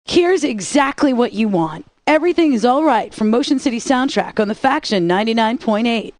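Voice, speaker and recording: A woman voices a snarky rock station DJ, quick with a put-down. The voice sits a little high, close-miked and bone dry in a game studio. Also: animated, a little mellow, no room sound, natural voice. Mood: sassy. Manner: teasing, dry and sarcastic. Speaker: radio DJ. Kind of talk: scripted game radio DJ banter.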